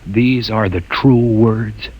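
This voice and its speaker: An actor playing a defiant, impetuous young heretic, with forceful, challenging delivery in vintage radio drama style. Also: male voice, lowish voice, slow pace, husky, soft background music, low-quality recording. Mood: probing, troubled. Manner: forceful, challenging, clipped questioning. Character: defiant, impetuous young male heretic doubting his people's faith.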